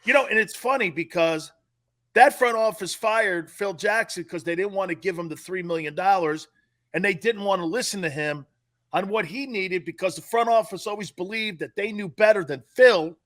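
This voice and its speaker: High bright-voiced man in his late fifties. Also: moderate pace. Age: late 50s. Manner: animated storyteller, rambling and joking. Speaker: sports talk co-host. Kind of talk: casual sports talk.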